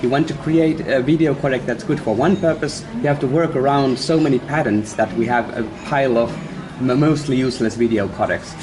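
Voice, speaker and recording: A man's voice: medium pitch, fairly quick, background noise. A man in a panel discussion, explaining his argument with examples.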